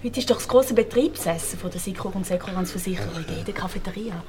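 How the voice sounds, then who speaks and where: An office woman, played by an actress, chatty and reproving. Her medium-pitched voice chides colloquially in a radio play, amid clear crowd chatter. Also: fast talker, close to an everyday voice. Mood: chiding. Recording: busy crowd behind.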